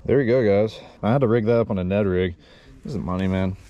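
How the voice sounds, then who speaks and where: A man's voice: lowish voice, fast talker, dark tone, muffled recording. A man competing in a casual fishing video, talking in hyped slang with short repeated exclamations. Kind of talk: casual outdoor vlog chat.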